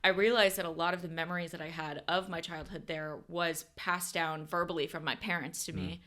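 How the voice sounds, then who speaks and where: A woman's voice: fairly low pitch, fairly even intonation, brisk, sharp tone. A young woman from the United States, a podcast guest in casual chat who rambles with many fillers and corrects herself.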